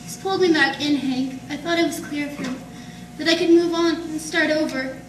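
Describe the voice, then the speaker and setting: A woman's voice: high-pitched, normal speed, lightly acted. A woman playing a secretive, pleading femme fatale in an earnest, dramatic 1940s radio melodrama style. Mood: wounded. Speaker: amateur stage radio-drama actress. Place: live performance in an art gallery. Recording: distant mic in echoey noisy room.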